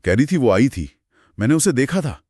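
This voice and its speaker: A man's voice: mid-range voice, sing-song, normal speed, darkish tone, no room sound, clean studio sound. A man hosting a conversational horror storytelling show, narrating in dramatic, short suspenseful phrases. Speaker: host narrating horror stories.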